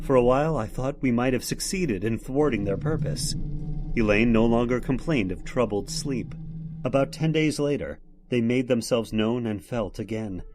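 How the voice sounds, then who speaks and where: A man's voice: medium pitch, normal speed, music in the background, close to an everyday voice. An actor playing an earnest, worried man in a radio horror drama, speaking in a dramatic storytelling style. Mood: somber. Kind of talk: old-time radio horror acting and narration.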